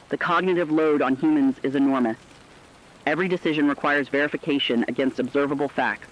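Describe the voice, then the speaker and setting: A man's voice: medium pitch, lightly acted. A man playing a serious crisis correspondent in a radio drama, giving formal scripted analysis on a dull, lo-fi recording.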